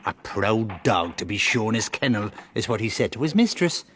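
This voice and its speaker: A man's voice: lowish voice, animated, moderate pace, fairly bright tone. An actor playing a hearty, outspoken Irish working man in a period radio drama. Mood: confiding warning. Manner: colloquial, outspoken. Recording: clear background noise, 1990s radio play.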